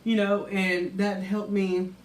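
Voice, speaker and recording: A soft-spoken woman vlogger in casual, sassy chat, talking about herself. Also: mid-range voice, slow. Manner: chatty, sassy, animated. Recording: echoey room with background noise.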